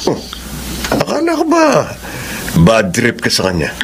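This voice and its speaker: A cocky, scheming young Filipino man in a radio drama. He is insistent and sly, with a hugely swooping mid-pitched voice over a noisy track. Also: wildly swooping pitch, unhurried, creaky, heavily acted. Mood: dismissive. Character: scheming, jealous young man, cocky suitor and older brother.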